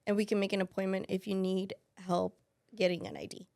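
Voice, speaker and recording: A woman's voice: mid-range voice, level tone, fairly quick, gravelly, a little mellow, no room sound, studio quality. A woman in her thirties whose first language is Spanish, talking as a financial aid specialist in a casual interview, thoughtful and full of restarts. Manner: thoughtful, with many fillers and restarts.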